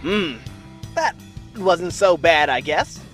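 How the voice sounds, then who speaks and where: A woman's voice: fairly low pitch, animated, slow, soft background music, pitched below the performer's own voice. A woman voicing a cocky young male blue hedgehog hero in a fan audio drama, casual and breezy. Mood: musing.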